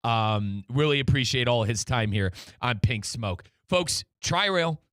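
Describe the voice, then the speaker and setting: Male sports radio host, forceful bright voice, a little high, emphatic and chummy, dry close sound. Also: expressive, unhurried, no room sound. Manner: emphatic, chummy, repeats himself. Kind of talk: soccer talk show hosting.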